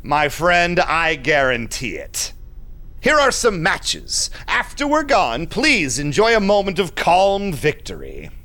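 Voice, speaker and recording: A slick shady vampire businessman in an audio drama, bright animated voice, condescending and confiding. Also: a little high, slow, heavily acted. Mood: smooth, assured. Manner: theatrical, unctuous, confiding. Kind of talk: audio drama acting with narrated asides.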